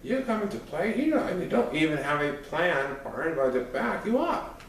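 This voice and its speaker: A man acts out a mocking teenage boy from a storybook, sarcastic and needling. The voice is slightly high and rings in a very echoey space, back from the mic. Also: big pitch swings, normal speed, slight background noise. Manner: taunting, sarcastic. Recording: echoey room, far from microphone.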